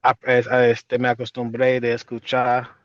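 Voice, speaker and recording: A man's voice: mid-range voice, monotone, dry recording. American man, dull dry recording. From Chicago, United States. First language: English. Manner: halting, self-correcting second-language speech. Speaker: interview guest. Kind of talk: casual conversational interview in learned Spanish.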